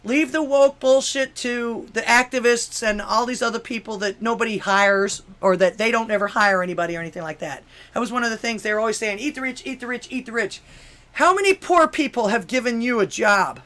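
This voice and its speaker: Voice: mid-range voice, brisk, sharp tone. Sarcastic talk-show host from Miami. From Miami, Florida, USA. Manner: sarcastic, frequent rhetorical questions, ranting; stumbling restarts and many fillers. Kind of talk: political commentary, reading news and chat messages aloud.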